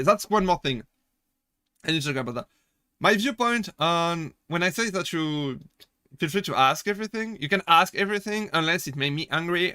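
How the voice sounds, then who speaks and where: A man's voice: a little high, bright, forceful tone, dry recording, studio quality. A young man from France with a French accent, chatting casually with his live-stream chat, laughing often and restarting his sentences as he jokes. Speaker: VTuber streamer.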